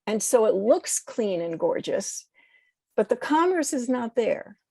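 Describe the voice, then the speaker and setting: An American woman in a conversational discussion, speaking haltingly as she searches for words and corrects herself. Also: on the high side, sing-song, vocal fry, dark tone, dry recording. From United States. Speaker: podcast guest.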